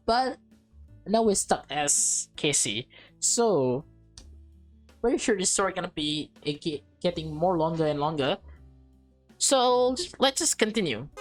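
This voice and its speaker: Slow-talking, low-voiced woman doing a let's play. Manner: informal, addresses viewers as guys and man. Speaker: gaming host reading a visual novel aloud. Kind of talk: casual let's play with read-aloud dialogue and commentary. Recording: music playing behind the voice. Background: music.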